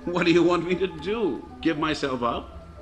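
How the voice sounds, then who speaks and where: A man's voice: fairly high pitch, moderate pace, soft background music, lightly acted. A man playing a charming, glib American racketeer in a radio drama, jaunty and cynical.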